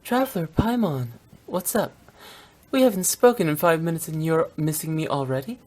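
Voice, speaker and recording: A woman's voice: low voice, moderate pace, darkish tone. A woman playing a young female funeral director in a video game, playful yet blunt and unsentimental, speaking in a frank, matter-of-fact way. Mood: teasing. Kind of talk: scripted English video game voice acting.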